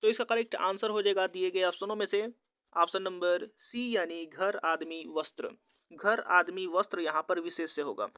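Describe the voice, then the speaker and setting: Guy with a high clear voice doing exam quiz teaching, methodical, really dry sound. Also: steady tone, moderate pace, bright, forceful tone, no room sound. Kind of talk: instructional quiz narration.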